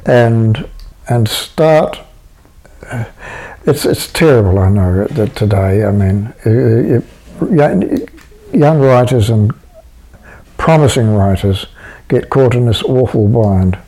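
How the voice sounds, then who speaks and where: A man's voice: medium pitch, lively intonation, slow, pauses often, mellow, rough recording. A man being interviewed about his writing, talking casually and rambling with many restarts and fillers. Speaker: interviewed writer.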